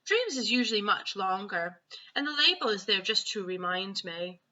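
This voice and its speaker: Woman playing a gentle giant, bright and animated. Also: medium pitch, dull audio. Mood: explaining. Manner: folksy, ungrammatical, unhurried explaining. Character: huge gentle male giant, muddled grammar, kindly.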